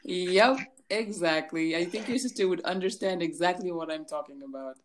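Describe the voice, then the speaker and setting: A woman from Zambia in her thirties talks as a guest in a relaxed interview. Her voice sits low. She laughs easily and doubles words for emphasis. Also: normal speed, a crowd in the background. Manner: warm, laughs often, repeats words for emphasis. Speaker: guest life coach. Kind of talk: casual interview chat.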